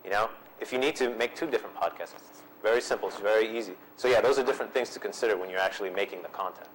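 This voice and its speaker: A man's voice: lowish voice, rapid-fire, slight background noise, low-quality recording. A man presenting a workshop and answering audience questions in an easygoing way, repeating himself with frequent ums. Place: seminar room.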